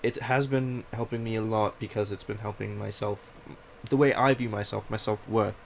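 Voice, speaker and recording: A man's voice: fairly low pitch, fairly even intonation, moderate pace, vocal fry, a little hiss or hum. A young man from Canada who speaks with a mix of British and Canadian accents, hosting a casual, rambling, self-deprecating personal monologue. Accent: British mixed with Canadian. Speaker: podcast host.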